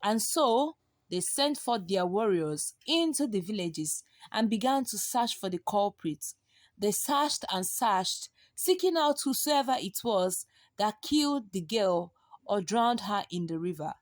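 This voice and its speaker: A woman's voice: medium pitch, animated, measured pace, no echo. A woman telling a folktale dramatically and expressively, drawing out and repeating words for effect. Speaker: storyteller.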